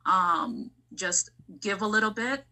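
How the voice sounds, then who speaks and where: A woman's voice: mid-range voice, takes it slowly, bright, forceful tone. A woman hosting a casual, reflective interview, talking things through and asking questions. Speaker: podcast host interviewing a guest.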